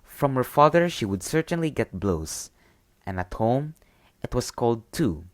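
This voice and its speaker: Guy doing soft intimate asmr bedtime stuff, lilting and expressive, really close dry sound, bit whispery. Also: medium pitch, a little slow, dry recording. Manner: soft, intimate, soothing delivery, partly whispered. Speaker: ASMR storyteller. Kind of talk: ASMR bedtime roleplay and storybook reading.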